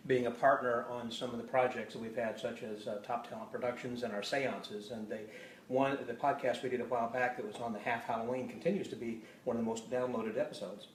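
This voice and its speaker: A man's voice: medium pitch, fairly even intonation, brisk. A man in his sixties from the Midwest, chatting and laughing easily as he answers questions about his life. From Illinois, US Midwest. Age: 60s. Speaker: podcast host being interviewed. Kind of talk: casual chat. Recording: roomy live stream from a distance, faint noise.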